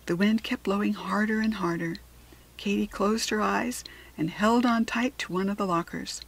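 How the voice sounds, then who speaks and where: Expressive American woman reading a storybook. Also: mid-range voice, normal speed, vocal fry, poor audio. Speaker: storybook reader. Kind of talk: expressive scripted children's story narration.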